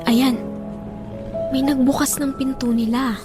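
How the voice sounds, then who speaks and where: A gossipy, easily startled female companion in an audio drama. Her voice is very high, and music is clearly audible behind it. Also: fairly even intonation, unhurried. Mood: watchful.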